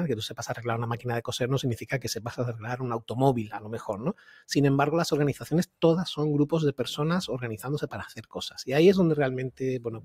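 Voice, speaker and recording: Husky middle-aged man from Spain. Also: mid-range voice, darkish tone, no echo. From Seville, Spain. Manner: chatty, digressive, tag questions. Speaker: podcast guest, agile consultant. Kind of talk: casual reflective interview talk. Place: indoor room, video call. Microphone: large microphone close to mouth, earphones.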